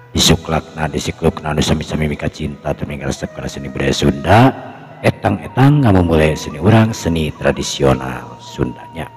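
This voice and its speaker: A man, hosting and telling a traditional folk story to his listeners. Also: extremely low pitch. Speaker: storyteller and channel host. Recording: long echoing reverb, music behind. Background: music.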